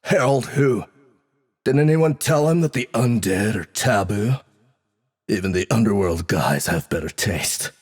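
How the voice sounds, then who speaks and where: Audiobook fantasy role: brash young godling, condescending street swagger, husky, unhurried, swinging delivery. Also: male voice, lowish voice, expressive. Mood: brash, scornful. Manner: sneering, condescending. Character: brash, cocky young male god, streetwise and taunting.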